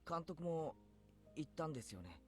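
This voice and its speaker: An actor playing an earnest, uneasy teenage schoolboy in a visual novel, with hesitant phrasing. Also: male voice, on the high side, big pitch swings, fast talker, darkish tone, faint music behind. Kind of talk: Japanese visual novel voice acting.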